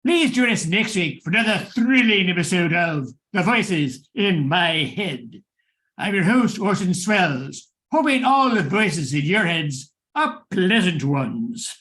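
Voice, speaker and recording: Bright, slow, dramatic male radio narrator. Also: high voice, sing-song. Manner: dramatic, theatrical. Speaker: host and narrator. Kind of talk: scripted narration, old-time radio thriller.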